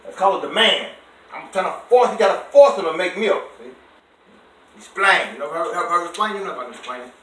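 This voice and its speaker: A man reading a bedtime story to a child in an expressive way, pausing to ask about and explain words in simple terms. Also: high-pitched. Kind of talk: animated read-aloud with explanations for a child. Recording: echoey room, distant microphone.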